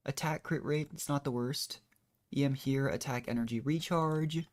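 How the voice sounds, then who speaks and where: Guy streaming a video game, normal mid pitch, just rambling thru his thoughts casually. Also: normal speed. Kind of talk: casual gameplay commentary, thinking aloud.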